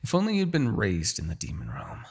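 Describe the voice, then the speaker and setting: Demon king, played by a man, centuries old, for fantasy audio drama. Raspy, widely swinging delivery; proud, bitter, sardonic menace. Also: fairly low pitch, wildly swooping pitch, a little fast, exaggerated voice. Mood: pained, gloating. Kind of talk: fantasy ASMR audio roleplay acting.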